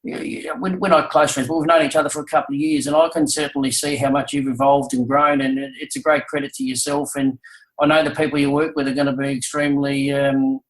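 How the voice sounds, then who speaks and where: Echoey, hesitant man interviewing. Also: mid-range voice. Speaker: guest interviewer. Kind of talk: casual interview. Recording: roomy, echoing sound.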